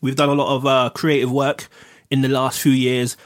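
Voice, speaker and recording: A man's voice: mid-range voice, little pitch movement, normal speed. A man co-hosting a podcast in casual, teasing chat, laughing often. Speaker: podcast co-host.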